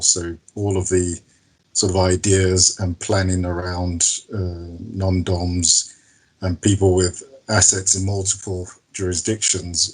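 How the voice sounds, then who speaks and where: A man in his fifties, raised in the United Kingdom and Nigeria, introducing himself on a panel with relaxed, self-deprecating hesitations. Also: deep voice, slightly rough, low-quality recording. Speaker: private client adviser.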